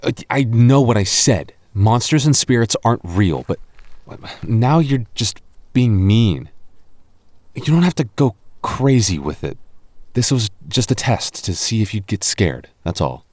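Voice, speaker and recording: Deep-voiced man, teasing boyfriend roleplay. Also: animated, unhurried, a little mellow, dry recording.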